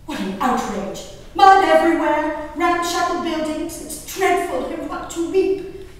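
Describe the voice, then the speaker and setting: Woman acting a high-voiced genteel lady in an echoey hall. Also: lively intonation. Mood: appalled, indignant. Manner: declamatory, drawn-out emphatic phrasing. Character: genteel, dramatic English gentlewoman diarist, easily appalled, enraptured by wild nature. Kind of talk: theatrical dramatic reading of a diary on stage. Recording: echoey hall from far off, faint noise.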